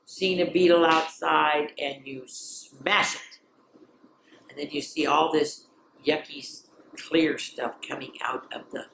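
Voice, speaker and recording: A woman reading aloud to children as a storyteller, expressive and playful, with chatty asides. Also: low voice, slight background noise. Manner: expressive, playful, drops into whispers for refrains. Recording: roomy, echoey sound.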